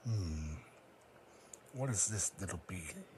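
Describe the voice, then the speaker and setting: Man playing weary psychic creature for fan audio drama: low-pitched, grave, formal, noisy home recording. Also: expressive, slow pace. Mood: grave, wary. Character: solemn, weary, psychic cat-like creature, proud and wary.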